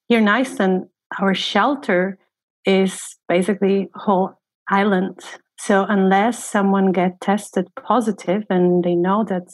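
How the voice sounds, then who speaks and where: Guest, female, from Poland, mid-pitched, thoughtful and unhurried. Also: a little mellow, low-quality recording. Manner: thoughtful, unhurried, with small grammar slips. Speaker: guest, glacier guide. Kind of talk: casual personal account.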